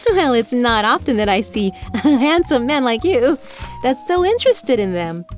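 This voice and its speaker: Woman playing a bold, lively, flirtatious lady. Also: on the high side, moderate pace, faint music behind. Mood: flirty, teasing. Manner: teasing, confident. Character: bold, flirtatious female fantasy author. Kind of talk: fantasy audio drama acting.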